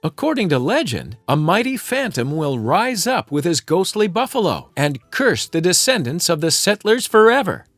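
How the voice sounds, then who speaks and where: A man's voice: sits high, very animated, moderate pace, hardly any pauses, fairly bright tone, no room sound, soft background music, exaggerated voice. A man voicing a studious, knowing young woman in a cartoon storybook, explaining things precisely.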